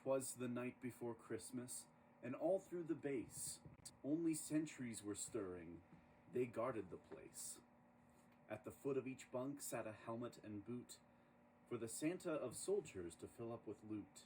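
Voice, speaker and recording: A man reading a rhyming children's story aloud in an expressive, dramatic storytelling manner. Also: medium pitch, a little slow. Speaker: storybook reader. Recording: distant microphone with clear background noise.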